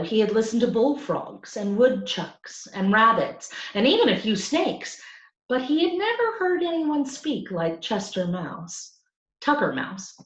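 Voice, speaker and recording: A woman reading a children's story aloud in an expressive storytelling style. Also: mid-range voice, reverberant, lo-fi sound. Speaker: storybook reader.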